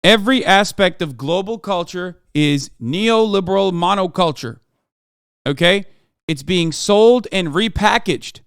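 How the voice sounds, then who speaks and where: A man's voice: high voice, lively intonation, a little slow, fairly bright tone, smooth, dry recording, studio quality. A man from Turkey, in his thirties, giving heated, profane reaction commentary as a streamer, lecturing and emphatic.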